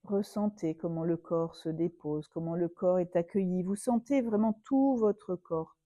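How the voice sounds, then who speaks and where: A woman yoga teacher leading a guided relaxation meditation in a soothing, unhurried manner, leaving long pauses. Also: medium pitch, warm tone, no room sound.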